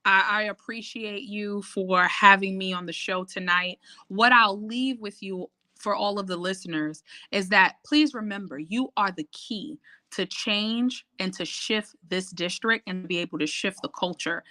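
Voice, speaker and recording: Bright assured woman making her pitch. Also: no room sound. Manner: assured, persuasive, lists achievements. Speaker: city council candidate. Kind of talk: campaign pitch on a call-in show.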